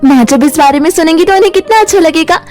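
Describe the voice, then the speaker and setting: A woman's voice: extremely high pitch, quick pace, darkish tone, soft background music, pitched above the performer's own voice. A woman voicing a poor, kind-hearted young girl in a cartoon fairy tale, in an earnest, emotive way. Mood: hopeful.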